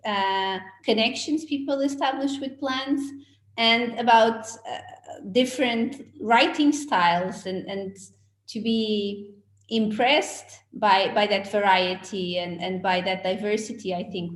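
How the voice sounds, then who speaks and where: A woman whose first language is Portuguese, an editor speaking thoughtfully, with many pauses and fillers, at an online book launch. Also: medium pitch, echoey room.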